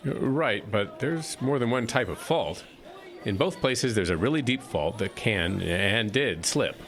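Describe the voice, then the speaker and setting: Audio drama science teacher, wry and explanatory male actor, deeper register, animated intonation. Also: unhurried. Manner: hesitant starts, wry, explanatory.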